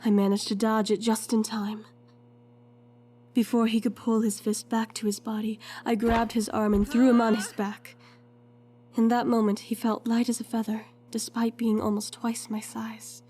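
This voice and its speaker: A woman's voice: a little high, level tone, moderate pace, warm tone, slight background noise, close to an everyday voice. A woman playing a young gifted heroine in an audio drama, earnest and a little unsure, telling her story in the first person. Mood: tense, earnest. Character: hesitant young gifted woman, apologetic, narrating her own fight.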